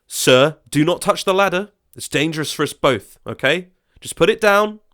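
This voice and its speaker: A man's voice: a little high, lively intonation, moderate pace, a bit bright, a little hiss or hum, pitched above the performer's own voice. A man voicing a harassed young workman up a ladder, tense and pleading. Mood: stern.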